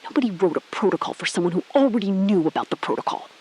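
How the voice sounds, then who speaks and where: A woman playing a resolute, shrewd astronaut in an audio drama, speaking in a controlled, deliberate way and repeating phrases for emphasis. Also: mid-range voice, lively intonation, darkish tone, husky, low-quality recording. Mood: firm, confronting. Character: resolute, shrewd female astronaut exposing a cover-up. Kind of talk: scripted audio drama, hushed dramatic phone-call acting.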